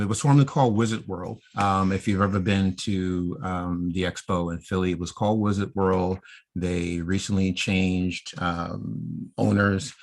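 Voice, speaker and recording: Podcast host: American male, low-pitched voice, informal discussion style.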